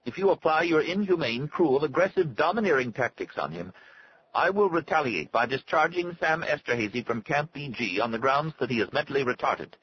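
A man's voice: on the high side, animated, brisk, husky, highs cut off, pitched above the performer's own voice. A man voicing a self-righteous, threatening doctor in an audiobook, with a thin, unnatural, stiffly formal delivery. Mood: indignant threat.

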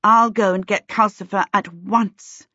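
A woman's voice: medium pitch, steady tone, a little slow, pushed and bright, no echo. A woman voicing a proud, prim teenage girl with a haughty, clipped way of speaking. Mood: earnest. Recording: dull, dry sound.

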